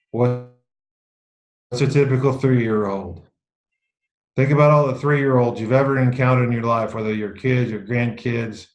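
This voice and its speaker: A middle-aged man with a low voice presenting a leadership course to a group in a meeting room, casual and dryly self-mocking, often restarting his sentences. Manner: dry self-mocking humor, frequent restarts. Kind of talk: casual teaching talk to a small group. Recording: echoey room.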